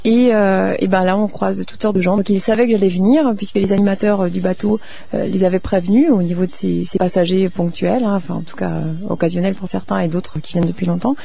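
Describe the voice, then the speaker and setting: Woman from France, radio host, fast delivery, gravelly fry, casual storytelling, chatty and direct. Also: medium pitch, steady tone, dark tone. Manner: informal, chatty, addresses listeners directly. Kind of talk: casual spoken storytelling and interviewing.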